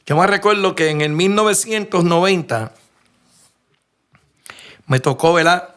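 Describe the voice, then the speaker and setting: Male Puerto Rican pastor, slightly high voice, earnest sermon storyteller who stops and restarts often. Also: expressive, measured pace, fairly bright tone, smooth. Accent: Puerto Rican Spanish. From Puerto Rico. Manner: earnest storytelling, frequent restarts and rhetorical questions. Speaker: preacher.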